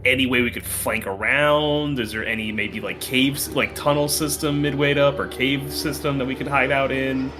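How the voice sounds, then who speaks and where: A man's voice: mid-range voice, steady tone, moderate pace, few pauses. Man thinking aloud over music. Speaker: player in a tabletop game. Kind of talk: casual chat. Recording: music playing behind the voice.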